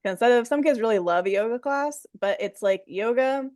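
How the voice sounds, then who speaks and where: A woman's voice: a little high, animated, normal speed, darkish tone, dry recording, clean studio sound. A woman counselor, a guest on the show, talking casually and with enthusiasm, full of "like" fillers. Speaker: mental health counselor. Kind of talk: interview guest.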